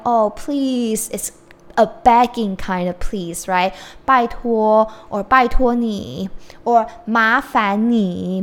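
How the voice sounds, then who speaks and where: Woman teaching Chinese phrases. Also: on the high side. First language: Mandarin Chinese. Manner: switches between English explanation and slow modeled Chinese phrases. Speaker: Chinese teacher and podcast host. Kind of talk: casual language lesson.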